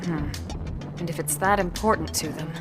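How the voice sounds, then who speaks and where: Low-voiced, composed woman squad leader acted. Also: natural voice. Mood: sceptical. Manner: measured, authoritative, direct. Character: composed, sceptical female military squad leader. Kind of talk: video game voice acting. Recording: loud music behind the voice.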